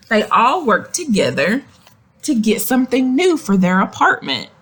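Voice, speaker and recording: A woman reading a picture book aloud to young children, expressive and explanatory, speaking to them directly. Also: fairly low pitch, husky. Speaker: children's story reader. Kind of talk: animated read-aloud of a picture book with asides to young listeners.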